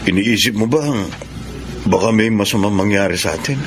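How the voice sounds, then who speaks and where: A man's voice: lowish voice, moderate pace, music in the background, lightly acted. An actor playing an adult seaman with a Filipino accent in a radio drama. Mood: calm. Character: reassuring adult seaman, turning fearful. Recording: noisy, engine-like background.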